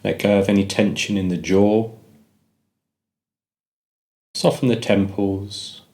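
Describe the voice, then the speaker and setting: A man narrating a guided meditation, giving gentle, measured instructions with pauses between phrases. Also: lowish voice, fairly even intonation.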